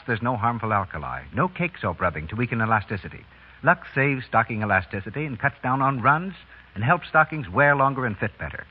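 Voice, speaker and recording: A male radio announcer delivers friendly, persuasive sales patter with lively, swooping intonation. His voice is a little husky. It comes through a narrow, muffled 1930s broadcast recording. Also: medium pitch. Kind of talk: 1930s radio sponsor advertisement.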